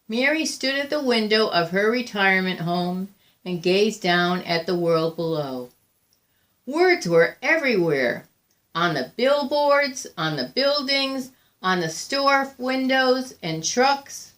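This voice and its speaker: A woman reading a picture book aloud as a storyteller, deliberate and expressive, with frequent pauses. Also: medium pitch. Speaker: volunteer storybook reader.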